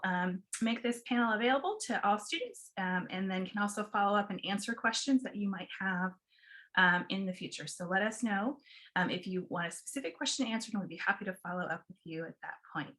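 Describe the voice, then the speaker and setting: A woman directing professional development, opening a video-call student panel with welcome remarks and frequent ums. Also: mid-range voice. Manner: many ums, steady and organized. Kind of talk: welcoming event introduction.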